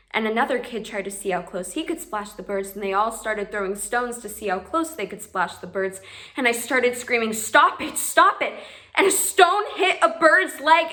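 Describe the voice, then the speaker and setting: A woman's voice: on the high side, bright, forceful tone. A woman performing a monologue as a plainspoken young woman haunted by a cruel memory, telling it in simple words. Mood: frantic. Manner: plainspoken storytelling, builds to screams. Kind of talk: audition monologue.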